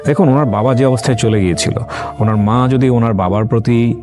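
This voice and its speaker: A man's voice: medium pitch, brisk. A man hosting a life-story interview, reflecting at length on the guest's story and drawing moral lessons, mixing English words into his talk. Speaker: host. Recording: background music under speech.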